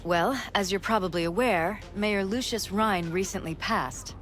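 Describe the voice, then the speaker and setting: A woman's voice: mid-range voice, unhurried, a bit bright, soft background music, lightly acted. A woman playing a poised, shrewd middle-aged politician's wife in a video game, guarded and businesslike. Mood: guarded, matter-of-fact. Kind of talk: cinematic video game acting.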